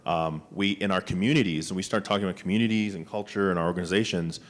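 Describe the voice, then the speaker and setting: A man's voice: sits low, quick pace. A man, a small business agency official, giving conversational panel remarks with frequent fillers and restarts. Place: conference room panel.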